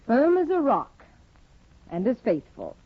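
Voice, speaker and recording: An actress playing a proud, discontented young aristocratic wife in a poised, theatrical 1950s radio drama manner. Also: female voice, on the high side, huge pitch swings, a little slow, full character voice. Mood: taunting, falsely sweet. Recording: muffled, phone-like old radio broadcast, roomy.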